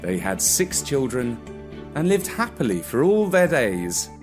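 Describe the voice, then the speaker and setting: Slow, animated male storyteller over music. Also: mid-range voice. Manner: expressive, deliberate delivery. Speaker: storyteller, narrator. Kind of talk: scripted children's story narration.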